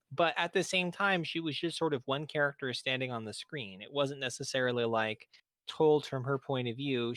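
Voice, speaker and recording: A man's voice: fairly high pitch, fairly bright tone, no echo. A man speaking as a game writer on a panel, explaining things in a thoughtful, methodical way.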